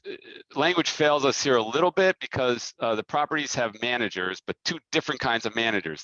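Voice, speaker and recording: A man talking informally on a business conference call, halting as he repeats and rephrases his words. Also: lowish voice, pushed and bright, dry recording, highs cut off. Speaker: company head answering investor questions.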